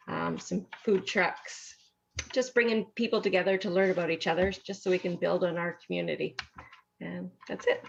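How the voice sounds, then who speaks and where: Woman candidate, plain-spoken and hesitant, gravelly, muffled line. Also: on the low side. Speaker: council candidate. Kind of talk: short candidate pitch. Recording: muffled audio, faint hiss.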